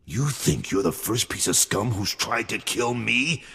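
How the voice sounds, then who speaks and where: An actor playing a gruff older colonel in a video game cut-scene, with a raspy, gravelly voice and blunt, drawling orders. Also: male voice, on the low side, expressive, a little slow, pushed and bright, heavily acted. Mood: furious. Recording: long hall reverb.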